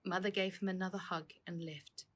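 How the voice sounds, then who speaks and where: A woman's voice: on the low side, steady tone, a little fast, background noise. A woman narrating a children's story as the author reading her own book.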